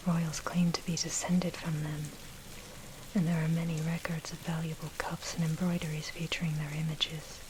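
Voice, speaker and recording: A woman narrates in a breathy ASMR whisper, low and very even in tone. Her reading is measured and scholarly, like someone presenting a history text. Steady, clearly audible rain runs behind her voice. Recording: steady loud rain noise over voice. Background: rain and thunder.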